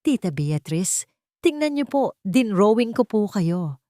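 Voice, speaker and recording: An eager little girl, as a woman narrator plays her in an audiobook drama. She is affectionate and excitable, with a raised pitch. The sound is dry, with no room around it. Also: a little slow, no echo. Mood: sweet, proud. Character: excited affectionate little girls calling their father and aunt. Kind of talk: audiobook character dialogue.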